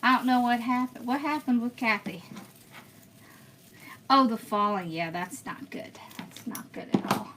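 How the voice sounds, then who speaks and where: A woman's voice: on the high side, lively intonation, measured pace, long pauses, background noise. A woman hosting a craft livestream, chatting casually and answering viewer comments as she works, often laughing. She was born in Pennsylvania and raised in Georgia. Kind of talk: casual live-stream chat while crafting.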